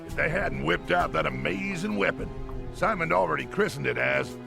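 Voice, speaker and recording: A blustering, boastful old army colonel, with a heavily gravelly, fried voice pitched a bit high. He barks orders and drops folksy slang. Loud game music runs under him. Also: moderate pace. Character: gruff, boastful older male military colonel.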